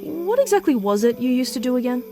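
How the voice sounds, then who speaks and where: A woman's voice: high-pitched, sing-song, quick pace, music in the background. A woman playing a chatty, teasing suburban mom and old school friend in a visual novel, with a gossipy, casual delivery.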